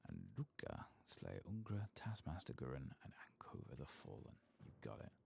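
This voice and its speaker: A man giving soft-spoken, half-whispered ASMR commentary on a game, murmuring low-key into a close microphone. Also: huge pitch swings, moderate pace, vocal fry, breathy edge. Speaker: ASMR video game streamer.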